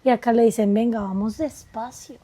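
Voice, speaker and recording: A woman's voice: a little high, fairly even intonation, mellow. A woman, talking reflectively as a guest, spiritual in tone and tagging rhetorical questions onto her points. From Colombia. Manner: spiritual, musing, quick asides with rhetorical questions. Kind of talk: reflective conversation.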